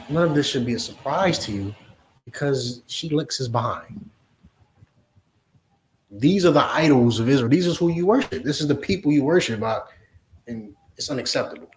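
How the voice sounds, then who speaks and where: A man preaching a forceful lesson to a class, often asking if they understand. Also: mid-range voice, animated, moderate pace, long pauses, a little hiss or hum. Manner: forceful, repeats "you understand?". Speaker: religious teacher. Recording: echoey room.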